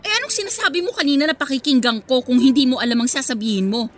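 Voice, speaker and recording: A woman's voice: high voice, expressive, fast. A woman acting in a radio drama as a hurt, sarcastic young girlfriend confronting her cheating boyfriend. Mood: angry, incredulous. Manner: sarcastic, cutting. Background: faint background music.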